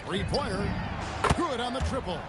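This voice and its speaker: A man with an American accent voicing a brisk play-by-play commentator in a basketball video game. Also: sits high, lively intonation. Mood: focused, excited. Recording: loud music and arena crowd behind. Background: music, crowd, bouncing basketball.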